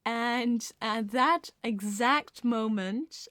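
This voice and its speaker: A woman's voice: a little high, extremely slow, a bit bright, no room sound, clean studio sound. A woman telling casual, confessional personal anecdotes in an ASMR storytime style, with a light giggly manner.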